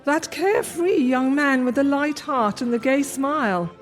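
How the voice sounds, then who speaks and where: Woman doing a grave spirit, commanding and slow, long echo effect, soft music behind. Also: high-pitched. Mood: solemn. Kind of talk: radio drama acting. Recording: reverb effect on the voice.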